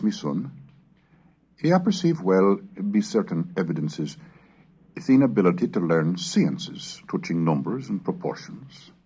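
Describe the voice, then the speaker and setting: Scholarly man reading, with a lively, swooping pitch. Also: slightly rough. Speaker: reader and lecturer of a recorded language course. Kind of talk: scripted narration and dramatic reading of Middle English texts. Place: recorded narration on cassette. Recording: dull, lo-fi 1970s cassette recording.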